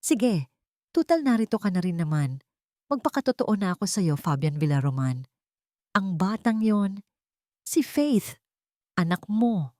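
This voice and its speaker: A woman's voice: mid-range voice, very animated, measured pace, long pauses, dark tone, dry recording, clean studio sound. A woman voicing a bitter, wounded single mother in an audio drama, emphatic and accusing. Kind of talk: dramatic audio story acting.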